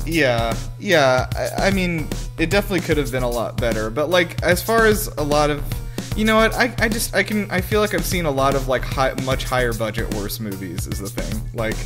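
A man co-hosting a casual movie-reaction chat, excitable and joking, with casual swearing. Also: on the high side, brisk, music in the background. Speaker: podcast co-host.

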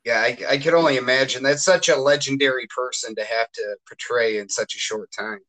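A man's voice: medium pitch, steady tone, fairly quick, fairly bright tone, low-quality recording. Easygoing male podcast host. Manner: easygoing, short casual questions. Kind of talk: casual interview chat.

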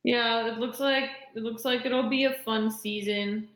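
A woman co-hosting a podcast, chatting casually in a relaxed, easygoing way with hedged opinions. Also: on the high side, unhurried. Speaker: podcast co-host. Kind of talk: casual chat. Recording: echoey room.

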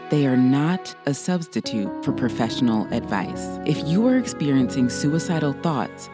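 A woman reading a scripted podcast introduction in a measured, deliberate way. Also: a bass voice. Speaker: show announcer. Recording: music bed under the voice.

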